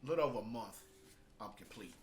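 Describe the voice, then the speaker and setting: A man's voice: on the low side, lively intonation, quick pace, slight background noise. A man talking in a casual vlog review style, conversational and emphatic, repeating phrases to drive points home. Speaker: product reviewer and online marketer.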